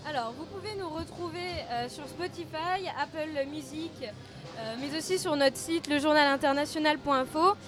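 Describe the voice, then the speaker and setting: A woman's voice: high voice, brisk, music in the background. A young woman co-hosting student radio, chatty and giggly, searching for English words. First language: French. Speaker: radio co-host. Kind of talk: casual student radio chat.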